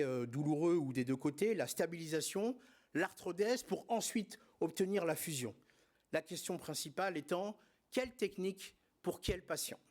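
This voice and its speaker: High-voiced man giving a surgical lecture. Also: darkish tone. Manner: didactic, emphatic, frequent hesitations and filler words. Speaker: spine surgeon presenting at a congress. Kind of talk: conference lecture.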